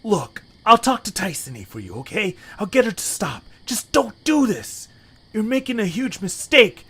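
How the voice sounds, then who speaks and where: Guy doing a nervous young creature hero, kinda high n husky, earnest and pleading, audio drama. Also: sing-song, normal speed, a bit bright, full character voice. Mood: pleading, upset. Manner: hesitant, fretful, pleading. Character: anxious, earnest young male Zoombini creature. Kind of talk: amateur audio drama acting.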